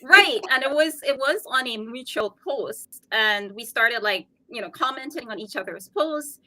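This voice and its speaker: A woman with a softened Trinidadian accent, a guest talking earnestly and explaining her ideas in a casual interview chat. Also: fairly high pitch, lively intonation, measured pace, dry recording, rough recording.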